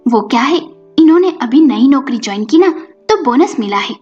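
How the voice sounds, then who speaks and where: A woman's voice: sits high, expressive, normal speed, mellow. A woman storyteller voicing a kind, reassuring young sister-in-law in a family story. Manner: reassuring, considerate. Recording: muffled recording with music behind.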